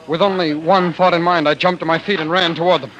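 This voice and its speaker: An actor playing a tender, desperate fugitive husband in old-time radio drama style, halting and stammering over his words. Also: fairly high pitch, fairly even intonation, lo-fi sound, crowd noise, natural voice. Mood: dazed, grieving. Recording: noisy vintage radio drama broadcast.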